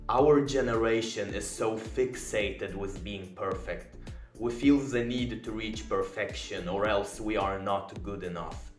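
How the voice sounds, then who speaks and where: Earnest young man ranting in echoey room. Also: fairly low pitch. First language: Polish. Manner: earnest, emphatic and reflective. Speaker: video essayist. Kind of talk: self-improvement commentary rant.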